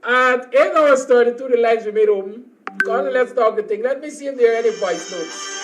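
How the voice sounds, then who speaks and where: Very high-voiced Guyanese male host. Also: fairly quick, music in the background. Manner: chatty, laughs easily. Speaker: talk show host. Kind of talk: live call-in talk show hosting.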